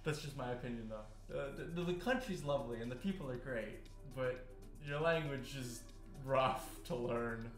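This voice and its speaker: A man's voice: medium pitch, moderate pace. Rambling young man in an echoey kitchen. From Canada. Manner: rambling, filler-heavy, many restarts. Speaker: cooking streamer. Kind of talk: casual live-stream chat while cooking. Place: home kitchen. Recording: roomy kitchen sound with faint music.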